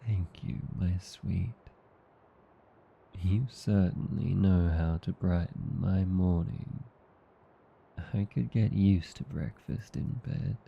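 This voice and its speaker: A man with an Australian accent playing an affectionate, drowsy vampire husband in an intimate ASMR roleplay, murmuring softly and tenderly. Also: slow pace, highs cut off, exaggerated voice. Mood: content, tender. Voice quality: low, gravelly, husky. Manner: languid, soft, tender murmuring.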